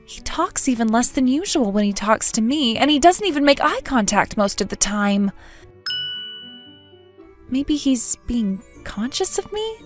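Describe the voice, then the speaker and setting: A woman's voice: a little high, sing-song, measured pace, faint music behind. A woman playing a sociable but nervous, lovesick schoolgirl in a manga dub, with an emotive, hesitant delivery. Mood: wistful, self-doubting. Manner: emotive, self-doubting, hesitant. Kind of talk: dramatic manga dub narration and dialogue.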